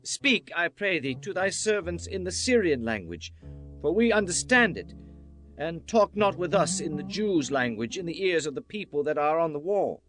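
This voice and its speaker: Pleading palace official male actor, higher-pitched, entreating, audiobook Bible drama over music. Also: lively intonation, normal speed, fairly bright tone. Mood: anxious pleading. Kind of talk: dramatized scripture audiobook acting. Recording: music under the voice.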